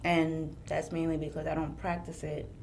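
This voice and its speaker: A young woman whose first language is French, a podcast guest chatting casually and thinking aloud with pauses. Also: sits low, level tone, vocal fry, darkish tone, slight background noise. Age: young adult. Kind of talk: casual chat.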